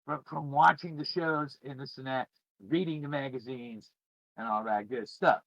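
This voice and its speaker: A man's voice: medium pitch, moderate pace, creaky, a bit bright, dry recording, muffled recording. A middle-aged man chatting casually to viewers as a streamer, in a rambling, informal and emphatic way. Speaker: podcast host and streamer. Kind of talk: casual chat, reminiscing to viewers.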